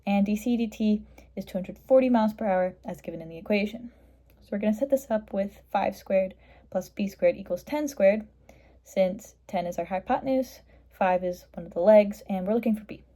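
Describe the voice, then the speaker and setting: Female tutor for math walkthrough: middle-pitched, somewhat mellow voice, matter-of-fact step-by-step delivery. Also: fairly quick. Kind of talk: step-by-step explanation of a worked math problem.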